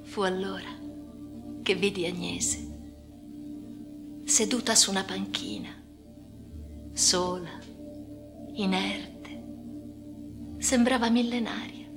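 A haunted woman patient recounts institutional life in a radio play monologue. Her mid-pitched voice is intimate and often sinks toward a whisper. Music runs beneath her words. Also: fairly even intonation, slow pace, long pauses. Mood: hushed, uneasy. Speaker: radio drama actress. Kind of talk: dramatic first-person radio monologue.

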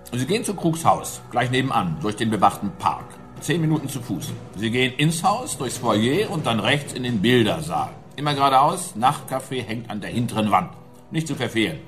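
Glib, condescending schemer, animated delivery. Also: male voice, medium pitch, normal speed, faint music behind. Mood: smooth, instructing. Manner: smug, instructing, impatient. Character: glib, condescending male schemer posing as art doctor. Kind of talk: radio play acting.

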